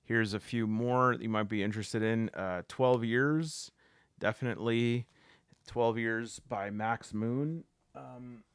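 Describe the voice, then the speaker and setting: A man hosting a solo tabletop game show, talking casually to camera in a halting way with lots of fillers and restarts. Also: fairly low pitch, smooth, no room sound, clean studio sound.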